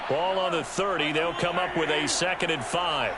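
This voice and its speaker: A man playing a polished, energetic American play-by-play announcer in a football video game. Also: on the high side, moderate pace, heavy background noise, lightly acted. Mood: brisk, focused. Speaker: play-by-play sports commentator.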